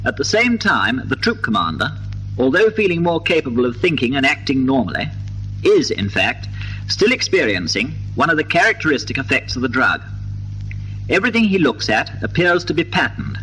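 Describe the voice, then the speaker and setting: A British man delivering formal, precise, measured scripted narration for a 1950s documentary, on an old film soundtrack. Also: mid-range voice, big pitch swings, sharp tone, a little hiss or hum, rough recording.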